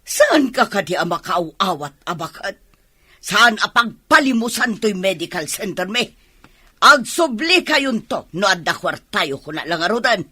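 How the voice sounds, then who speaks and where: A woman's voice: mid-range voice, very animated, a little slow, bright, forceful tone, slightly rough, full character voice. An actress playing a stern, unbending, curt woman running things at a medical center in an Ilocano radio drama. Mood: stern, dismissive.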